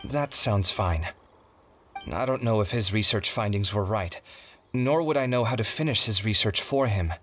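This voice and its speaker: A man's voice: fairly low pitch, normal speed, a bit bright, slight background noise, close to an everyday voice. Earnest, proud young male scholar voiced by an actor. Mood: solemn, resolute. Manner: earnest, dramatic. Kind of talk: English video game voice acting. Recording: background music under the voice.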